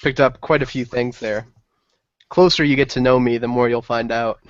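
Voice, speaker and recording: A man's voice: medium pitch, fairly even intonation, normal speed, no room sound, muffled recording. A man playing a tabletop game, talking in a sly, conversational way in character. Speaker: player.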